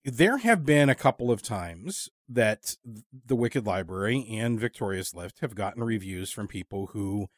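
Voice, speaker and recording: A man hosting a casual long-form interview, talking in a thoughtful, halting, discursive way. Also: fairly low pitch, expressive, no room sound, studio quality. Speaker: podcast interviewer.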